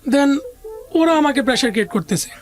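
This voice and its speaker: A woman's voice: high voice, animated, fairly quick, a little mellow, faint music behind. A woman with a Bangladeshi accent telling a personal life story as an interview guest, conversationally, often voicing what others said.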